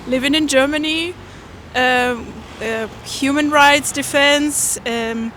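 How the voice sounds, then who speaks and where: A woman from Belarus speaks haltingly outdoors, with many pauses to find words. Her voice is fairly high, and clear street noise with cars sits behind her. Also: a bit bright. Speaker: interviewee.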